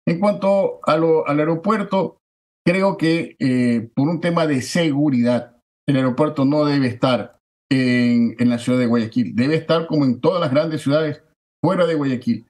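A man, a political candidate giving forceful, declamatory campaign answers that stack repeated phrases for emphasis. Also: fairly high pitch, a little slow. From Ecuador.

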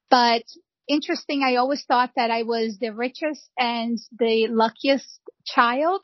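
A woman's voice: on the high side, no echo. Woman, middle-aged, reflective and earnest, kinda dull lo-fi sound, dry. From Brazil. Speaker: guest, real estate founder. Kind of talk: podcast interview.

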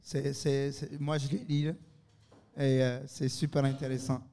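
A man's voice: mid-range voice, flat delivery, a little slow, dark tone. Jovial male host in a reverberant room. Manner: teasing, jovial, laughs often. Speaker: host moderating a panel discussion. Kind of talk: casual live interview. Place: live recording in a university bar. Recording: reverberant room, live event recording.

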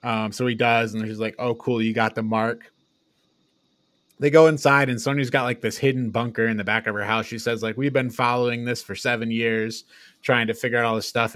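Guy cohosting a movie podcast, normal pitch, super dry sound, casual chatty, swears a bit. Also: fairly bright tone, dry recording. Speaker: podcast co-host. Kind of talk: casual film review discussion.

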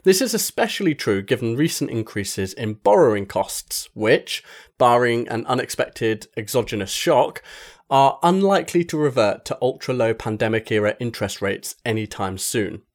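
A man reading a magazine article aloud as scripted narration, in a measured, formal delivery. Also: mid-range voice, animated. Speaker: article reader.

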